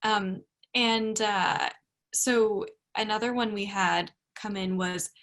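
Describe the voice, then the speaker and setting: A woman's voice: medium pitch, fairly even intonation, slow pace, a bit bright. A woman moderating a talk, reading out audience questions in a polite, slightly hesitant way. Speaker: event moderator.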